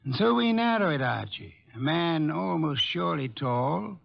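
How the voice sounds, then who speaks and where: A dull, lo-fi radio recording of a brilliant master detective. His gravelly voice sits fairly high, and he hands out orders in deliberate, exacting phrases, stubborn and fully in command. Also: big pitch swings, heavily acted. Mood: exacting, patient. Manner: deliberate, authoritative, precise phrasing. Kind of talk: old-time radio drama acting.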